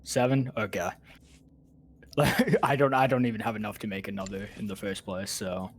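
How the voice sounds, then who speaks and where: A man's voice: fairly low pitch, steady tone, moderate pace. A man chatting casually with fellow players over gaming voice chat. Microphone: online voice chat.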